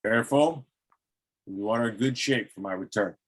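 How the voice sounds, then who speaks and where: A man playing a menacing, commanding old explorer villain in a table read of an animated film. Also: lowish voice, normal speed, close to an everyday voice.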